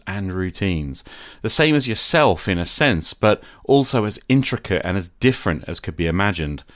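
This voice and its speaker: A man hosting a podcast, delivering a scripted, reflective monologue in a deliberate, explanatory way that addresses the listener directly. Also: lowish voice, dry recording, clean studio sound. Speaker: podcast host.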